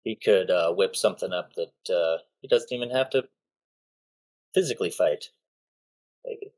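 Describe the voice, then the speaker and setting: A man's voice: low-pitched, expressive, normal speed, darkish tone. A man co-hosting a podcast, chatting in an easygoing way with frequent hesitations. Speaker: podcast co-host. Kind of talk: casual chat.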